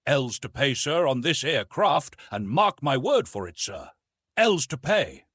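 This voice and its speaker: A man acts out a little old Cockney sailor from an adventure storybook. His voice is husky and leaps about in pitch as he gives agitated, conspiratorial warnings. He drops his aitches and hammers his points home by repeating them. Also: very animated, a little slow, fairly bright tone, no room sound, clean studio sound, full character voice. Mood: ominous. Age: older. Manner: emphatic, dropped aitches, repeats himself.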